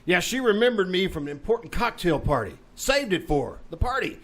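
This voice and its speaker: Man playing a high-pitched, hammy, pushy radio sidekick. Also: big pitch swings, brisk, a bit bright. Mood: eager. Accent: American. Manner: theatrical, pushy, salesman-like patter. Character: brash, fast-talking, hammy male would-be detective sidekick. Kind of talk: live old-time radio comedy detective acting. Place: small theater stage, live performance.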